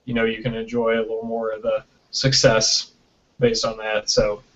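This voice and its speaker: A man's voice: fairly low pitch, completely flat delivery, moderate pace, a bit bright. A man being interviewed on a casual video call, explaining things conversationally and often checking in with "right?", recorded through a built-in webcam mic. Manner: explanatory, often tags sentences with "right?" and "you know". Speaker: interview guest, video producer. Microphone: built-in webcam microphone on video call. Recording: dull lo-fi web video call.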